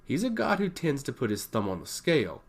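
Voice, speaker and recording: A man's voice: mid-range voice, huge pitch swings, a little fast. A man voicing a skeptical, dismissive female royal advisor in a fantasy story, blunt and scornful.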